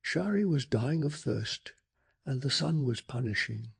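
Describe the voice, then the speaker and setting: Male ASMR bedtime narrator: very husky and breathy, heavily creaky, hushed and measured in delivery. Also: mid-range voice, lively intonation. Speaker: ASMR storyteller. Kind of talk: soft-spoken ASMR bedtime story narration.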